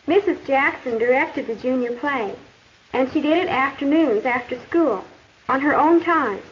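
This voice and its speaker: A young woman playing an earnest high school girl with an American accent, narrating a 1950s educational film in a conversational way. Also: a little slow. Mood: earnest, confiding. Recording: muffled, noisy 1950s film soundtrack.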